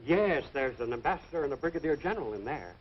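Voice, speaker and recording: A fussy, obliging hotel clerk, acted by a man, fairly high and very animated in vintage radio comedy style. The old recording is dull, with faint noise. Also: fast, lightly acted. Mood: apologetic.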